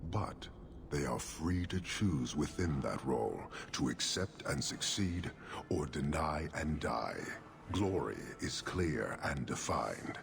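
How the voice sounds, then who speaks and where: A man playing a stern, contemptuous giant horned warrior commander in a video game, speaking in measured, formal pronouncements with a gravelly voice. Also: a bass voice, exaggerated voice. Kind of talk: video game cutscene acting.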